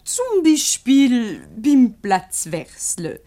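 A woman's voice: a little high, sing-song, very slow, dark tone, full character voice. A woman playing a meddling switchboard operator in a Swiss German radio comedy, speaking in a pointed, officious, roundabout way. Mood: ominous, hinting. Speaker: radio play actor.

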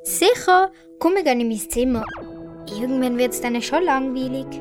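Woman playing a blunt, high-voiced schoolgirl. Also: animated, moderate pace, music in the background. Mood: reassuring. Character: blunt, unimpressed schoolgirl, Bruno's classmate. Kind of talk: acted children's audio story.

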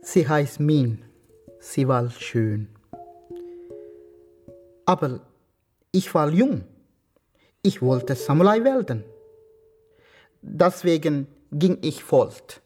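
Martial arts master for radio crime drama: higher-pitched, measured, formal and clipped, music behind. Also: male voice, animated, darkish tone. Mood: wistful. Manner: clipped, refers to himself in third person.

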